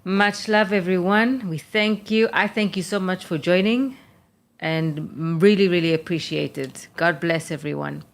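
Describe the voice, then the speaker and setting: A woman's voice: on the low side, steady tone, a little slow, creaky. A woman from South Africa co-hosting a casual live-stream chat, mostly giving short agreeing replies. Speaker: podcast co-host.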